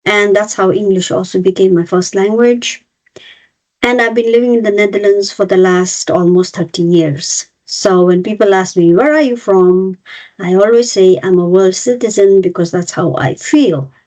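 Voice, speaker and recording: A woman's voice: medium pitch, moderate pace, darkish tone. A woman in her fifties from Nepal and India, presenting an online webinar as a cross-cultural trainer and explaining things step by step with frequent fillers and restarts. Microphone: video call. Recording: dull lo-fi video call audio.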